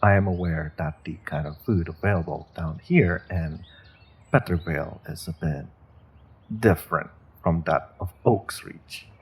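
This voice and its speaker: A sinister sorcerer captor played by a man speaks very slowly, in a deep voice full of gravel. He is courteous and measured, letting polite phrases carry the threat, as in a fantasy audio roleplay. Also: a little mellow, full character voice. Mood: polite menace. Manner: measured, polite, veiled threats, deliberate pauses.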